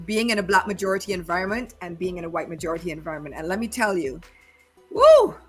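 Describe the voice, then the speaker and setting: A woman from Barbados with a Barbadian accent, reflecting on personal experience in a panel discussion, deliberate and emphatic in her repetition. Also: on the low side, big pitch swings, faint music behind. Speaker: panel speaker.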